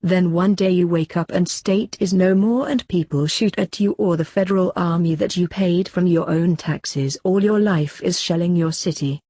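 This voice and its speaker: Female narrator for read-aloud forum posts, dry close sound, flat steady delivery. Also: fairly low pitch, normal speed, hardly any pauses, dry recording.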